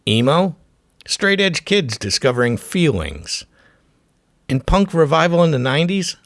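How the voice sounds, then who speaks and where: Gravelly, animated man narrating a documentary. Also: medium pitch, no echo, studio quality. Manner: punchy, dramatic short phrases. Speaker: host of a music history show.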